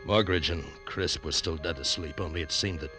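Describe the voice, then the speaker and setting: A hard-bitten adventurer, with a very deep voice and terse, clipped narration. Music plays clearly under the old radio broadcast. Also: male voice, normal speed. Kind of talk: 1940s radio acting.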